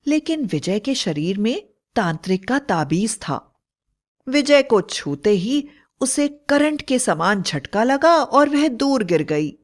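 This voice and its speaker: A woman's voice: a little high, very animated, slow pace. A woman narrating a scripted horror fairy tale in a dramatic storytelling style.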